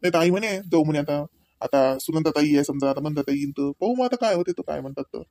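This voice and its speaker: A man's voice: on the high side, fast, mellow, no room sound, clean studio sound, lightly acted. A man voicing a doting, proud young father in a comedy cartoon, chatty and folksy, with a Varhadi Marathi accent. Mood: easygoing. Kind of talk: homemade comedy cartoon dialogue.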